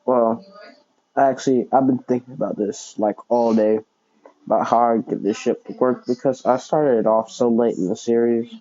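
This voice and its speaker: A young man casually telling a fan fiction story out loud, rambling and correcting himself as he goes. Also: medium pitch, fairly even intonation, normal speed, muffled recording. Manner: rambling, self-correcting, many fillers.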